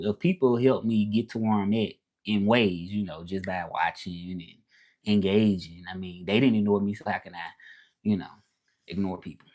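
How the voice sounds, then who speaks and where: A man's voice: on the low side, a little mellow. A man in his thirties with a Southern US accent, hosting a casual livestream and rambling as he repeats himself and answers viewers. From Southern United States. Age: 30s. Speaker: livestream host.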